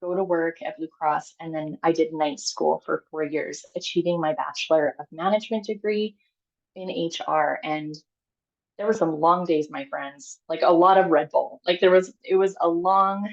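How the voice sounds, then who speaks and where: A woman's voice: sits low, steady tone, normal speed, highs cut off. A woman in her thirties from Canada gives a candid, chatty personal talk as a guest speaker on a call, with many fillers and restarts.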